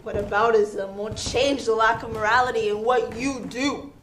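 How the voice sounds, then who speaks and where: A woman's voice: fairly high pitch, fairly even intonation, normal speed, fairly bright tone, natural voice. A woman student actor in a stage play, playing a skeptical companion who dryly challenges and needles a friend. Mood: challenging. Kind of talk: stage play acting in a one-act play. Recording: echoey theater room, faint noise.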